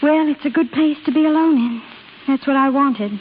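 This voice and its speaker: A high, youthful woman's voice acted by a woman from a golden-age radio drama. She is an estranged young wife, earnest and halting, wistful but firm. The sound is thin and phone-like with faint hiss and crackle. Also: close to an everyday voice. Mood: wistful, resigned. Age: young adult. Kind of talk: 1930s-40s American radio drama acting. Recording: scratchy, hissy old radio broadcast, phone-like.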